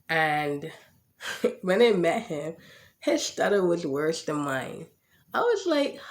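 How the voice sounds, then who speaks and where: A podcast host who stutters and has a slight lisp, talking casually about personal experience with frequent fillers and repeated words. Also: mid-range voice. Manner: speaks with a stutter, occasional word repetitions; frequent "um" and "right?". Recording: echoey room.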